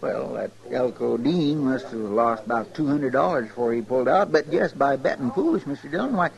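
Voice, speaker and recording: A man's voice: mid-range voice, animated, fairly quick, vocal fry, highs cut off. A man playing an earnest, gullible deputy in a radio western, rambling in a folksy rural American drawl. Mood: earnest, defensive. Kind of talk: old-time radio western acting. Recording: old radio recording, crowd murmur.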